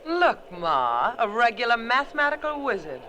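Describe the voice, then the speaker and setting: Wisecracking captive woman, vintage radio adventure. Animated range, bright edge, teasing and sultry. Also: a little high, lo-fi sound. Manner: sardonic, teasing, drawn-out. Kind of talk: old-time radio adventure acting. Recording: noisy old radio recording.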